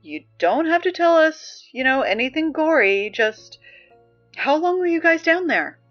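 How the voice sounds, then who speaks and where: A woman acting as an exasperated, wry high school student reading morning announcements in an apocalypse audio drama, halting and conversational. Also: big pitch swings, lo-fi sound. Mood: insistent, prying. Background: music.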